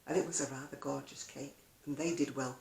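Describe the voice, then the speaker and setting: A woman's voice: very deep voice, steady tone, fairly quick, vocal fry, darkish tone. An older woman chatting casually to camera as a video blogger, rambling along with self-deprecating asides in an echoey room. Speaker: video blogger and craft seller.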